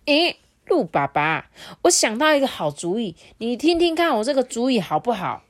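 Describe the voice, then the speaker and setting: A woman's voice: fairly high pitch, big pitch swings, moderate pace, pitched above the performer's own voice. A woman voicing a small rabbit who bosses the others around like a stern elder. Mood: eager. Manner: loud, imperious.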